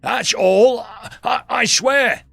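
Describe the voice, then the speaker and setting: A man's voice: extremely high pitch, extremely slow, vocal fry, sharp tone, breathy edge, dry recording, pitched above the performer's own voice, heavily acted. A man voicing a tough teenage orc boy with a thick accent who blusters and then stammers nervously, in a storybook reading. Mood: sheepish. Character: tough teen orc boy, loud bully turned sheepish. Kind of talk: storybook narration.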